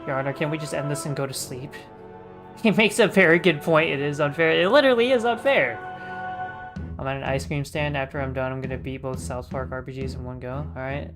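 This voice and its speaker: A man's voice: fairly high pitch, brisk, over music. A man giving casual, slangy, joking gameplay commentary as a streamer. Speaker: game streamer.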